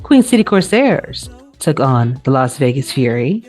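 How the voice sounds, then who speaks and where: A woman's voice: very deep voice, sing-song, a little slow, darkish tone, soft background music. A woman hosting a casual sports recap show, talking in a chatty, informal way. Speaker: show host.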